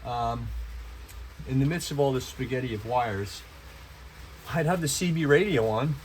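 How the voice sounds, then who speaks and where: A man's voice: medium pitch, a little slow, a little hiss or hum, low-quality recording. A man talking informally as the host of a DIY vlog, thinking aloud as he explains his work. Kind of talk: casual talk while working, explaining to viewers.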